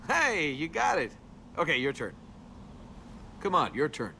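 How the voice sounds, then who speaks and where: Casting: flippant young rogue adventurer for a video game, very lively pitch swings, sarcastic banter, clear background noise. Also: male voice, a little slow, heavily acted. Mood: playful. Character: cocky, wisecracking young male adventurer and tomb robber.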